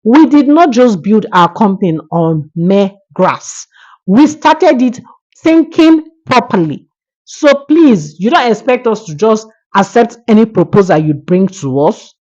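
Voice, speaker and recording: A woman's voice: mid-range voice, animated, a little slow, darkish tone, lo-fi sound, voice pushed up. A woman voicing a wealthy wife, firm and admonishing, in a folktale. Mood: firm, dismissive.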